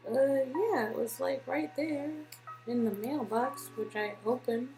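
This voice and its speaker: A woman's voice: sits high, unhurried, warm tone, faint music behind, low-quality recording. A woman casually and playfully reading through game dialogue as a performer.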